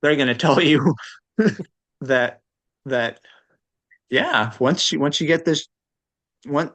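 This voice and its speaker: A man's voice: on the high side, slow, fairly bright tone, no echo. A man speaking as an interview guest in casual, unscripted conversation, hesitant and thoughtful as he hedges his points. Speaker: interview guest, transplant recipient.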